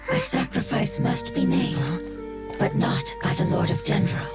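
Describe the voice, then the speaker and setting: A woman voicing a noble, solemn spirit creature in an anime video game, speaking gravely and formally with theatrical weight. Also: a little high, huge pitch swings, unhurried, heavily acted. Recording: very loud game music behind. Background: game music.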